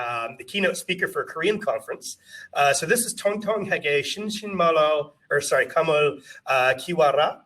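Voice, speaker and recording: A man's voice: fairly high pitch, fairly bright tone, far from the mic, low-quality recording. Chatty man, off the mic. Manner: chatty and self-deprecating, many fillers and restarts. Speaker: company co-founder giving a presentation. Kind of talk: casual conference talk. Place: company office.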